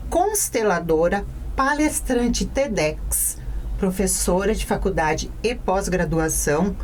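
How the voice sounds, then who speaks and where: A woman's voice: mid-range voice, expressive, slow, a little hiss or hum, poor audio. A woman hosting a business podcast, chatty and affectionate as she introduces and interviews her guest. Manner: affectionate, chatty, self-deprecating. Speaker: podcast host.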